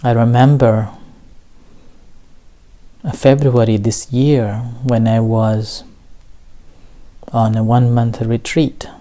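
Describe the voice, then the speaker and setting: Very slow, mellow male meditation teacher. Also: mid-range voice, level tone. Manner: slow, gentle, with long pauses between short phrases. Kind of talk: guided meditation dharma talk.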